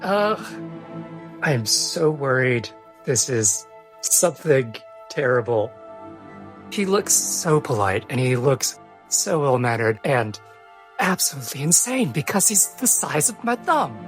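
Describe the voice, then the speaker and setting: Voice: medium pitch, sing-song, measured pace, soft background music, voice pushed down. A performer voicing a worried, apologetic uncle in a tabletop game, stammering politely and hesitantly. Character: worried, flustered, apologetic uncle travelling with young companions.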